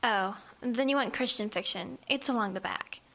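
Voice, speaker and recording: A woman's voice: fairly high pitch, moderate pace, over loud music, lightly acted, rough recording. A woman playing a bold, pushy ten-year-old girl in a radio horror drama, brusque and confident.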